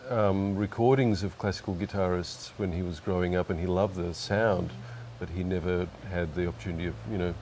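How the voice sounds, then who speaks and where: Low, mellow-voiced man. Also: steady tone, brisk, hardly any pauses, slight background noise. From Australia. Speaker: guest musician. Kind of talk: casual interview chat.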